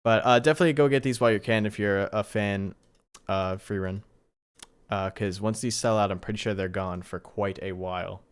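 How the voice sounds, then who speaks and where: A man's voice: lowish voice, brisk, clean tone. A man hosting a livestream, chatting casually and informally in slangy, appreciative asides to his viewers. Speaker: live streamer and host.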